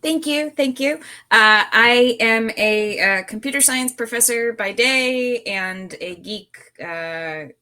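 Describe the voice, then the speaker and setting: A woman introducing herself casually, in a wry and halting way. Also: fairly high pitch, sharp tone. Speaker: computer science professor, table-read performer.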